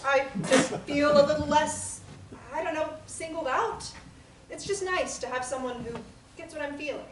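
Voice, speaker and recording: An actress reading a young newcomer to a therapy group in a table read, friendly and a bit nervous. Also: female voice, high voice, normal speed, lightly acted. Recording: roomy audio from far off, clear background noise.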